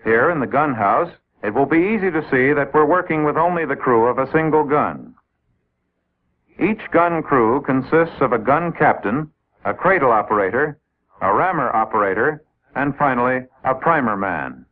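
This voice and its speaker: A man's voice: medium pitch, animated, moderate pace. An American man narrating a 1950s military training film in a methodical, explanatory manner, addressing trainees directly. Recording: old 1950s film soundtrack, tinny narrow band.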